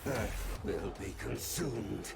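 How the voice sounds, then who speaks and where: Game villain priest: cold, grave, low and creaky, ominous delivery. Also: male voice, takes it slowly, a little hiss or hum, heavily acted. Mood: cold menace. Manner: grave, menacing. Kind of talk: game cutscene acting.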